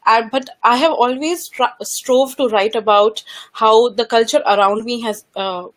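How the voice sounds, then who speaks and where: A woman's voice: on the high side, a little slow. A woman in her thirties from Kuwait, a parenting blogger talking as a guest in a casual interview, eager and full of "uh" fillers as she restarts her sentences. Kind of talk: casual interview over a call.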